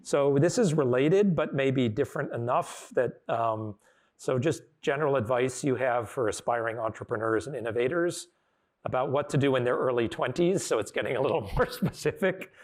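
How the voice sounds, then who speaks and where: Medium-pitched man, rambling conversational interviewer. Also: moderate pace. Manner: rambling, circling phrasing. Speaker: interviewer, university dean. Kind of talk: conversational live interview hosting.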